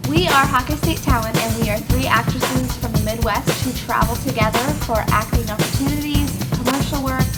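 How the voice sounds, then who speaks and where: Higher-voiced actress, giggly, stumbling pitch over a music bed. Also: female voice, normal speed. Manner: giggly, restarting her lines. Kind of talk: casual pitch to camera.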